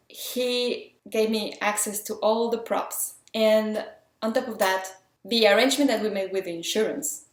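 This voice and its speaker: A woman from Mexico with a Mexican accent tinged with French, talking through filmmaking tips in an earnest, chatty, enthusiastic way. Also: medium pitch. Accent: Mexican, with some French influence. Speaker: independent filmmaker. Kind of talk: casual talk giving filmmaking tips. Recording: roomy echo.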